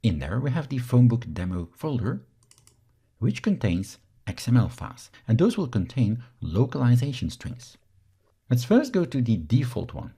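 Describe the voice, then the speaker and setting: A man from Belgium, a native Dutch speaker, explains each step as an instructor in a software screencast tutorial. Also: medium pitch, sing-song, moderate pace, slightly rough.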